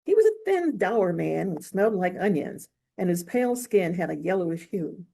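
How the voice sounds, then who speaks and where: A woman reading literary fiction aloud in a measured, expressive storytelling manner. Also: lowish voice, creaky, slight background noise. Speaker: author reading from own novel. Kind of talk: audiobook-style literary reading.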